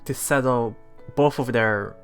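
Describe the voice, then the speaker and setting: A let's play host casually commenting on a visual novel and reading its lines aloud in a halting, chuckling way. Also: mid-range voice. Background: visual novel background music.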